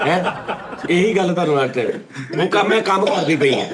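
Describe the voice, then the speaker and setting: Male comedy host, Pakistani Punjabi accent, somewhat high pitch, playful teasing with mock dialogues in casual group chat. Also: expressive, fairly quick, a loud crowd. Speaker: comedy talk show host. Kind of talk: casual comic group banter.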